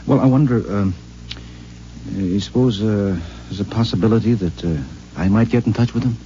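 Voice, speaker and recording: An actor playing a henpecked, eager friend in a 1950s radio drama, coaxing and hesitant with many fillers, on a dull old recording with faint hiss. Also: male voice, on the low side, normal speed, dark tone, close to an everyday voice. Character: henpecked middle-aged friend, eager and wheedling.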